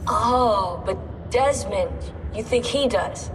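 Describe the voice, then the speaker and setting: A woman's voice: a little high, takes it slowly. A woman playing a wary, nervous colleague in a video game, speaking naturally in an uneasy, questioning way. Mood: nervous, doubtful. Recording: distant reverberant room with noise.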